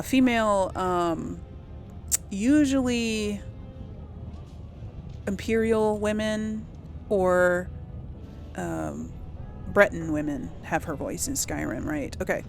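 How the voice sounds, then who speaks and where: Slow, rambling woman gamer thinking aloud. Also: medium pitch. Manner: rambling, hesitant, musing to herself. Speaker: host of a role-play let's play series. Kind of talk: casual gameplay commentary, thinking aloud. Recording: clear background noise, game ambience.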